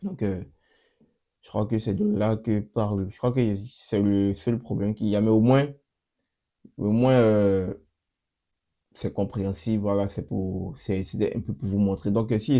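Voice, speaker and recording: A young man hosting his channel and talking through a coding demo in a casual way, with a cold that makes his voice a bit off. He repeats himself often and fills pauses with hesitations. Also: lowish voice, mellow. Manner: repeats himself, many fillers and restarts. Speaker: channel host. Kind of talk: casual screen-recorded coding walkthrough.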